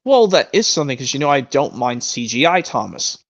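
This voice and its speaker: A young man in his twenties chatting on a live stream, rambling with frequent restarts. His voice sits at an ordinary middle pitch. The audio is a little muffled and lo-fi. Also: very animated, a little fast. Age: 20s. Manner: rambling, restarts often, enthusiastic collector. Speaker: stream host. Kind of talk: casual chat.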